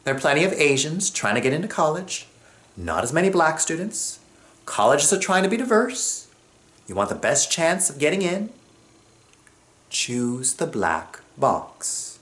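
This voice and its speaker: A man from Jamaica telling a personal story on stage, expressive and acting out what other people say. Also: fairly high pitch, measured pace.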